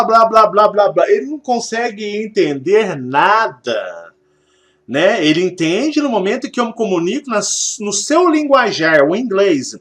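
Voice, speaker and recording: High-voiced Brazilian man explaining. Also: a bit bright. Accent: Brazilian Portuguese. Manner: rambling, repeats himself, many fillers. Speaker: spiritual channel host. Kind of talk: spiritual talk, explaining.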